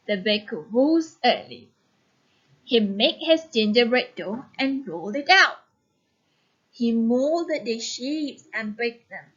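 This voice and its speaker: High, bright, animated woman teacher. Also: muffled recording. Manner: animated, clearly phrased, instructive. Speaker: teacher and storyteller. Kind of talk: expressive story reading and lesson instruction.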